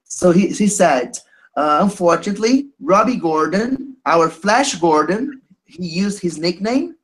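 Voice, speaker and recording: Brazilian man, thirties, portuguese accent, halting speech, lots of fillers, video call. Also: high voice, expressive, rough recording. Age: 30s. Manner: hesitant, halting, many fillers. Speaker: caller. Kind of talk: casual chat.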